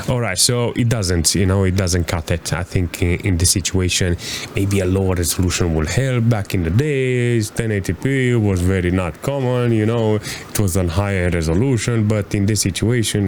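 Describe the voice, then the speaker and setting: Lowish voiced guy rambling through game benchmarks, uh and you know a lot, faint room hiss. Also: a little mellow. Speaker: hardware reviewer. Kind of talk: casual gameplay benchmark commentary. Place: small room at home, gaming chair. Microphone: desk mic with headset, webcam framing.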